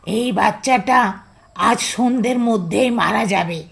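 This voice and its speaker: A woman playing a menacing, accusing village tantric sorceress in a horror audio drama, with ominous, drawn-out taunts. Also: a little high, creaky, fairly bright tone. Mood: ominous, demanding.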